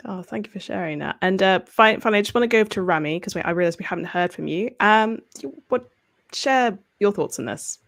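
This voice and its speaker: A woman with a medium-pitched voice. She moderates a panel discussion in a casual, conversational style, encouraging others to share their thoughts. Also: expressive, fast talker, fairly bright tone. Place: video call.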